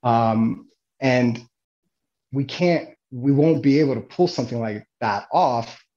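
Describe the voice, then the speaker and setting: A man guest in a casual podcast conversation, talking in halting bursts with many restarts. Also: medium pitch, dark tone, muffled recording.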